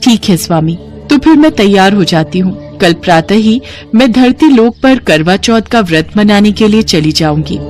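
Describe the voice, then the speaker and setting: A woman's voice: mid-range voice, expressive, normal speed, a little mellow, soft background music, natural voice. A woman voicing a gracious, devoted goddess and wife in a devotional story, earnest and formal.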